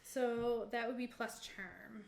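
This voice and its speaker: A woman's voice: a little high, fairly even intonation, slow, vocal fry, a little mellow. A woman running a tabletop game as game master, narrating casually and improvising as she goes. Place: indoor room with fellow players. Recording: echoey room, faint background noise.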